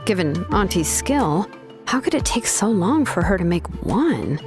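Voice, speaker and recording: A woman's voice: lowish voice, very animated, unhurried. An actress playing an easygoing, practical young woman in a video game, casual and matter-of-fact. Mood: puzzled. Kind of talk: game character dialogue. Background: game music.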